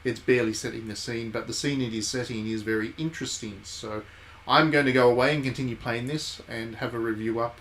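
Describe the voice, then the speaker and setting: A man's voice: mid-range voice, fairly even intonation, fairly quick, few pauses, a bit bright, a little hiss or hum. A man hosting a game stream, giving casual live commentary and thinking aloud in conversational asides.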